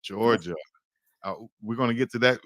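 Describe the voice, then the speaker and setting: A man hosting an interview show, asking brisk, leading questions. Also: mid-range voice, a bit bright, no room sound. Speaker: show host. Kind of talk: casual interview.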